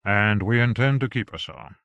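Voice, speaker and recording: A man reading a reserved dry-voiced gentleman. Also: lowish voice, lively intonation, clean tone, no room sound, dull audio. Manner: measured, dry, subdued.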